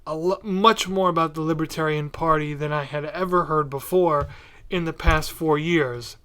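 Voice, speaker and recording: A man hosting a podcast, chatting casually in a tentative, agreeable, halting way. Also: fairly high pitch, fairly even intonation, fairly bright tone, clear, resonant tone. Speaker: podcast host. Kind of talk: casual interview chat.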